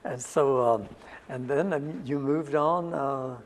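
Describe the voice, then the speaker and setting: A man hosting a local TV arts interview, speaking in a halting way with a formal, welcoming style. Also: medium pitch, fairly even intonation, long reverb tail, a little hiss or hum, poor audio. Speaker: TV arts show host.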